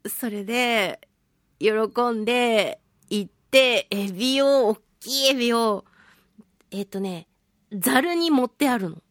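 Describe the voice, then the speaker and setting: A woman with a fairly high voice hosts a casual solo radio talk. She is expressive and pokes fun at herself, stretching out her exclamations. The sound is dry, with very little room in it. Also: takes it slowly, no room sound. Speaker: radio talk show host; voice actress and singing event MC.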